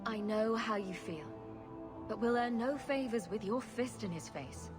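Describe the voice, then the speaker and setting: A woman's voice: a little high, expressive, unhurried. An actress playing a confident, level-headed adventurer in a fantasy game, dry and sardonic, with music behind her. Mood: composed, persuasive. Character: confident, wry female adventurer, level-headed older sister.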